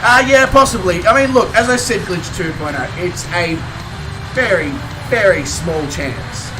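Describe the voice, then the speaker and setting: Croaky high-voiced Australian man. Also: lively intonation, unhurried, recorded from a distance, background noise. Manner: chatty, rambling, chuckles between remarks. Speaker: game streamer. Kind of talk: casual chat with live viewers.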